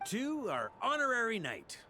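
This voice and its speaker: A man's voice: extremely high pitch, huge pitch swings, moderate pace, over music, exaggerated voice. A man playing an easygoing, jovial knight grandmaster in a video game, with a breezy, joking, theatrical manner. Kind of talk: scripted video game voice acting.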